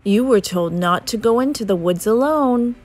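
A woman's voice: fairly high pitch, expressive, moderate pace, a little mellow, soft background music. A woman voicing a loving, worried turtle parent in a storybook, anxious and emphatic. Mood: scolding.

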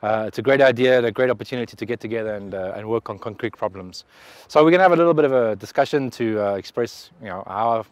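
A man's voice: on the low side, a little mellow, no echo. Chatty man interviewing outdoors, dry sound. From South Africa. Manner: chatty, hesitant with frequent uhs. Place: sunny outdoor campus. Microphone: handheld mic.

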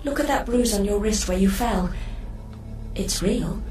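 A woman plays a female artificial mind in a radio drama, calm and probing, with a soft, measured delivery. The voice sits back in a very echoey space, with music behind it. It carries a quiet yearning to live. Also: medium pitch, recorded from a distance, lightly acted. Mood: calm, insistent.

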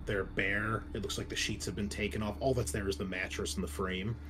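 A man narrating a tabletop roleplaying game as game master, casual and halting as he improvises descriptions. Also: lowish voice, little pitch movement, background noise.

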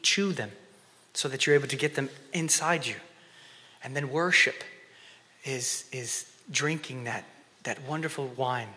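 A man in his thirties preaching on a church stage, swinging between loud emphasis and hushed, whispered prayer. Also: on the high side. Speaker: conference speaker. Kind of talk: sermon. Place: church stage before an audience. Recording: long reverb of a church hall.

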